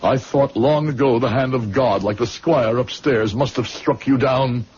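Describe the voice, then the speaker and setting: A man's voice: medium pitch, unhurried, a little mellow, slightly rough. An actor playing a bitter, drunken man haunted by remorse, in brooding old radio drama style. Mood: vengeful fury. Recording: dull lo-fi radio recording with music.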